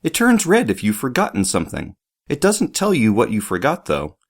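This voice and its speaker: A man voicing a formal, upright schoolboy who speaks properly and shouts in a high voice when riled. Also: huge pitch swings, normal speed. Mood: explaining. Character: formal, upright schoolboy loyal to his house.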